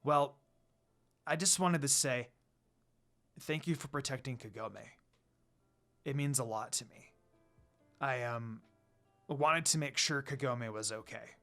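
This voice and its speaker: A man's voice: mid-range voice, takes it slowly, long pauses, sharp tone, faint music behind, voice pushed down. A man voicing an earnest half-demon younger brother in a subdued, sincere manner. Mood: grateful.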